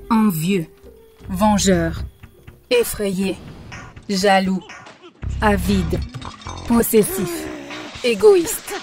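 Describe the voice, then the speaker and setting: A woman voices a wise spiritual teacher in an animated film. She speaks calmly and patiently, like a teacher guiding a student. Her voice sits in a middle range, with faint music underneath. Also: mid-range voice. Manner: calm, instructive.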